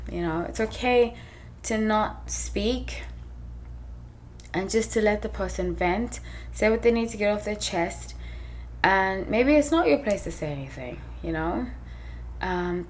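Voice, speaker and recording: A woman's voice: medium pitch, a little hiss or hum. A woman in her thirties from New York, raised in South Africa and England, hosting a tarot channel and chatting casually to camera, a bit tired and hot, with lots of fillers and restarts. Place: small room indoors.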